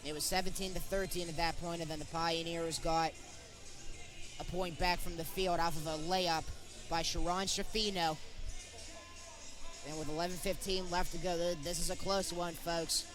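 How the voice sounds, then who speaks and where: A man's voice: a little high, level tone, normal speed, over music. A young man calling live college basketball play-by-play in short, clipped present-tense phrases. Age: young adult. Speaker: play-by-play sportscaster.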